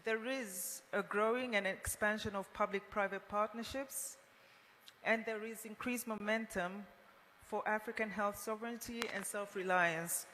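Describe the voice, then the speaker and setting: A woman chairs a formal roundtable at a medium pitch. Her voice rings through a big hall, with some room noise around it. She speaks as a moderator addressing delegates. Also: steady tone, a little slow, a bit bright. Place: large indoor conference hall. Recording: echoey hall, noisy room ambience.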